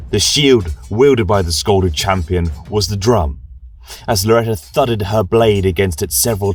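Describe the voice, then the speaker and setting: Slow, low-voiced solemn male storyteller. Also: far from the mic. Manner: grave, reverent, archaic phrasing. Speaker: storyteller host playing a religious chronicler. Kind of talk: solemn scripted fantasy narration. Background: music.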